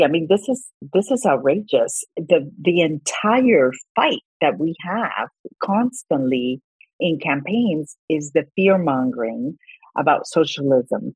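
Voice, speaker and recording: A woman's voice: deep voice, a little mellow, husky, dry recording, low-quality recording. A middle-aged woman from Colombia, a congressional candidate, speaking earnestly in a political interview and often restarting her words.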